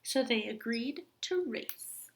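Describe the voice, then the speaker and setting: A woman with a fairly high voice reads a picture book to young pupils as their teacher. She goes very slowly and deliberately, shaping the story with expressive storybook delivery. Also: slight background noise. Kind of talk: children's story read-aloud.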